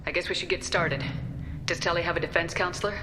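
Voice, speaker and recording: A woman's voice: fairly low pitch, steady tone, quick pace, close to an everyday voice. A woman playing a firm, loyal starship commander in her thirties in a video game, direct and composed. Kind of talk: video game cutscene acting. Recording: noisy ambience behind.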